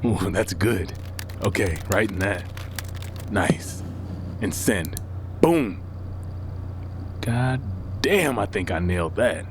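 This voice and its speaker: An actor playing a brash, cheeky, excitable sidekick in an audio drama, with slangy, expressive delivery. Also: male voice, lowish voice, slow, pauses often, a little hiss or hum. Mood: gleefully cheeky. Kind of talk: naturalistic audio drama acting.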